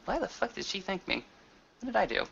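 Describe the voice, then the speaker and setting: A man's voice: high voice, big pitch swings, a little fast, vocal fry, fairly bright tone, breathy edge, dull audio, pitched above the performer's own voice, full character voice. A man playing a blunt, foul-mouthed, easily baffled member of a werewolf pack in a tabletop roleplaying game. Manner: blunt, swearing, emphatic.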